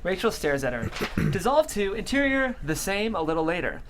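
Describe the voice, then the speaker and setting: Screenplay narrator, expressive swings in pitch, reading scripted directions, light background ambience. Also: moderate pace, slight background noise.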